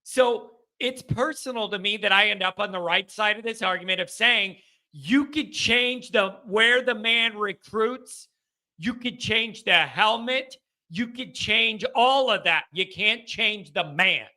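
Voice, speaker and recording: A man hosts a call-in sports show from his home basement. His voice sits high and sounds very bright. He rambles in an animated way and pokes fun at himself. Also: measured pace. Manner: animated, rambling, self-deprecating. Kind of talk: casual call-in chat.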